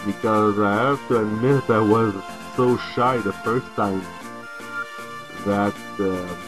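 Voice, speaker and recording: A man's voice: on the low side, steady tone. A native French speaker, a man with a French accent, gives casual, hesitant game commentary. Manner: hesitant, halting delivery. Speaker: let's play host. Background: video game music.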